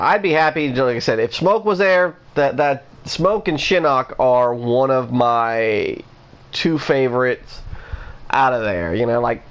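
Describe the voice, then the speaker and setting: A man talking casually into the camera, rambling with lots of restarts and fillers as he lists what he wants to see in a game. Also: mid-range voice. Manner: rambling, many restarts and "you know" fillers. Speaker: gaming vlogger. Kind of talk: casual rambling opinion talk.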